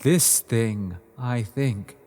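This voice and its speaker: A man voicing a grave, steady monster hunter, speaking slowly and solemnly over background music. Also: mid-range voice, long reverb tail, a little hiss or hum, pitched below the performer's own voice.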